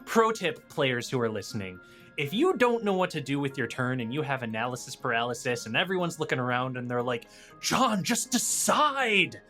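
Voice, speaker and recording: A man playing a tabletop roleplaying game, talking casually with hesitant, measured pauses. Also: medium pitch, big pitch swings, pushed and bright. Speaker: guest player running the monster. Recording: faint music behind. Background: background music.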